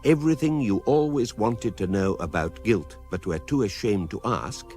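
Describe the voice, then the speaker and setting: An actor with a British accent playing a calm, dry narrator of an electronic guidebook in a radio drama, deadpan and mock-scholarly. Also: male voice, on the low side, normal speed, faint music behind. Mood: dry, measured.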